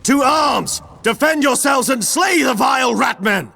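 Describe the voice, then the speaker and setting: An actor playing a resolute young army captain in a fantasy video game, formal, firm and dutiful. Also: male voice, extremely high pitch, expressive, measured pace, gravelly, slight background noise, full character voice. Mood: urgent. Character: earnest, resolute young male Empire captain. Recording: loud music underneath.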